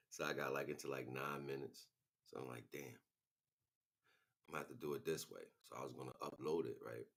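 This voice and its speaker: Male streamer, extremely low and creaky voice, loose unscripted delivery, rambling and slangy. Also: fairly even intonation, a little fast, long pauses, fairly bright tone. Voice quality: gravelly. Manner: rambling, slangy, muttering to himself. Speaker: live streamer. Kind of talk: casual unscripted livestream chat.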